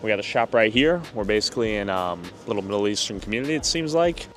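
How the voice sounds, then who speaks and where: A man's voice: lowish voice, expressive, quick pace, faint music behind. Man doing casual street interviews. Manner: upbeat, questioning, ad-read emphasis. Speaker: vlogger interviewing passersby. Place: outdoors on city streets.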